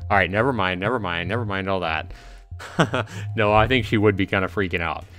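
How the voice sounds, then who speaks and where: A man's voice: deep voice, a little fast, fairly bright tone, faint music behind. Casual man hosting a game livestream. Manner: informal, reacting aloud and chatting to viewers. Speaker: livestream host. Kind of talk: casual game livestream commentary with dramatic read-aloud.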